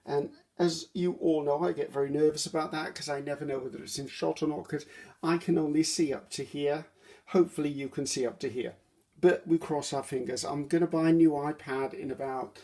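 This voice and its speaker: A woman's voice: low voice, dark tone. A woman hosting a casual craft video at her table at home, rambling and correcting herself with frequent ums. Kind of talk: casual chatty craft walkthrough.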